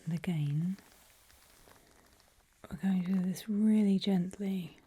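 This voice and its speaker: A woman whispering gently and reassuringly to a patient in an ASMR medical roleplay, close to the microphone. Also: medium pitch, slow, husky. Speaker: ASMR performer playing a back-alley eye surgeon.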